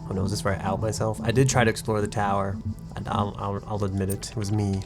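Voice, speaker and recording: A man streaming a puzzle game. He talks fast and low-key, with plenty of "like" fillers and a somewhat nasal voice, stumbling over words now and then. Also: little pitch movement, music in the background. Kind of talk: casual gaming commentary, reading game text aloud. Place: home room with a desk.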